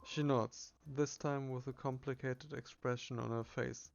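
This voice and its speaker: A man reading a text adventure game aloud as narration, with a subdued, flat delivery. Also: mid-range voice, unhurried, gravelly, lo-fi sound.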